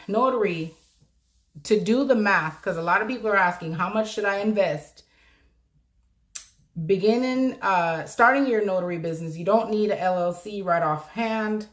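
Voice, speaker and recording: Friendly female business mentor on a live stream, low-ish voice, chatty and upbeat, quick to laugh. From South Florida, USA. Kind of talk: live-stream chat answering viewers.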